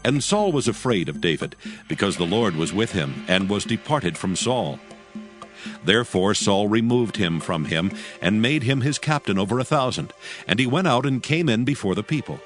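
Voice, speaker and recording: Low male narrator reading bible stories, solemn but lots of rise and fall, soft music behind. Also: animated, normal speed. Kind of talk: scripted scripture narration, dramatized audio book.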